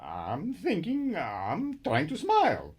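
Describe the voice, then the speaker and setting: Someone acting out an anxious male master painter in a radio comedy. The pitch lurches up and down expressively. He sounds plaintive, hesitant and theatrical. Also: wildly swooping pitch, slow, darkish tone, slightly rough, exaggerated voice. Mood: sheepish. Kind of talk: comic radio play acting.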